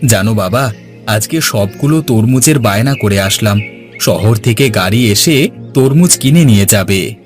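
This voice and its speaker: A man's voice: lowish voice, expressive, measured pace. A man playing an earnest, dutiful village farmer son in cartoon dialogue, with music behind. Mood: reassuring.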